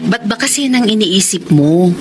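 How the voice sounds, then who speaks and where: An actress playing a bride's bossy, upbeat young best friend in Filipino radio melodrama, with a Filipino accent and an insistent, animated delivery. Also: female voice, medium pitch, moderate pace, lightly acted. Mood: cheerful, reassuring. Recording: noisy radio drama track with clicks.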